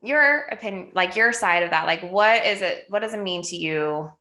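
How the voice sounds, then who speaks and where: A woman's voice: on the low side, moderate pace, pushed and bright, reverberant. A woman co-hosting a podcast, opening the topic in a chatty way with many fillers. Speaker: podcast co-host. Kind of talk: casual chat.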